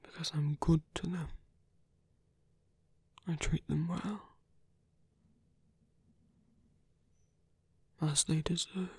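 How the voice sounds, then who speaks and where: A man playing a seductive, calm villain leader in an ASMR roleplay, breathy and intimate as he slowly coaxes a captive hero. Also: a little high, no room sound, exaggerated voice. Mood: tender, persuasive. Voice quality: breathy, husky, often whispering. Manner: slow, intimate, persuasive. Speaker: voice actor. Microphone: close, dry microphone.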